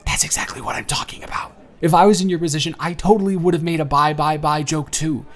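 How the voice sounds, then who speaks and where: A man's voice: a little high, fast, close to an everyday voice. A man playing a giddy, smitten young man on a blind date, bantering playfully in a one-sided romantic audio roleplay. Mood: excited, playful. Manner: animated, bantering, playful storytelling with stammers.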